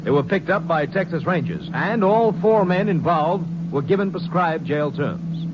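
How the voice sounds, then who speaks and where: A man's voice: a little high, lively intonation, normal speed. A man reading the outcome of a case in a matter-of-fact way. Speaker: epilogue narrator. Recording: muffled old radio recording with loud hiss.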